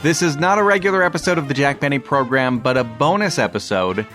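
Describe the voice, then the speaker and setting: Male podcast host, medium pitch, scripted intro, soft music behind. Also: expressive, fast.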